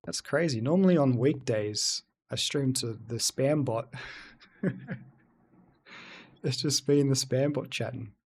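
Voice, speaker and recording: Gravelly-voiced male game streamer. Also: mid-range voice, a little slow, pauses often, a little mellow. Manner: chatty, reads and answers chat aloud. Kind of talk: casual let's-play commentary.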